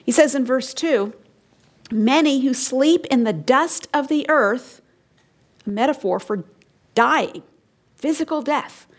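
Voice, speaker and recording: Emphatic preacher woman, fairly high voice. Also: animated, slow, pauses often. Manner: emphatic, rising and falling, drops to hushed lines; explains and asks the listeners questions. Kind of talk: expository sermon.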